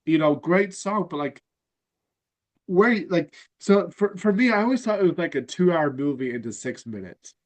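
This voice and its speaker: A man's voice: fairly high pitch, animated, normal speed. Congested nasal man chatting casually. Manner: rambling, lots of fillers and restarts. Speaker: podcast host. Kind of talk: casual fan chat.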